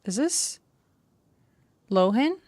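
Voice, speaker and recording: A woman's voice: on the high side, very animated, extremely slow, a little mellow, no room sound. A woman streamer giving casual, chatty commentary, reacting aloud to a game's story. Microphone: close streaming microphone.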